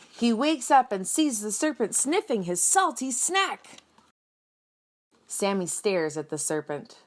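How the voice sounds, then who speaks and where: A woman reading a picture book aloud for children in an expressive storytelling style, speaking directly and simply to young listeners with dramatic emphasis. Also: mid-range voice. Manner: dramatic emphasis, acts out sound words. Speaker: children's read-aloud reader.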